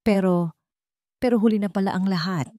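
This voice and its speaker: A woman's voice: mid-range voice, a little fast, dark tone, no echo, studio quality, lightly acted. A Filipino woman voicing a guarded, bitter young single mother in a storybook drama, curt and hurt. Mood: sorrowful, torn.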